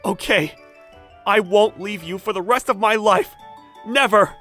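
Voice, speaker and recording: A man's voice: sits high, measured pace, pushed and bright, soft background music. A man playing an earnest, easily flustered young factory owner in love, in a manga dub. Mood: smitten. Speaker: voice actor, lead and narrator. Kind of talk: dramatized manga dub with inner monologue.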